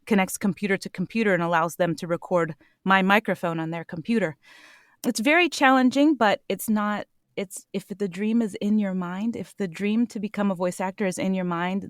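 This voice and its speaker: A woman voice actor answering interview questions in an earnest, animated way, laughing now and then. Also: fairly low pitch, no echo, studio quality. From United States. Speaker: voice actor guest.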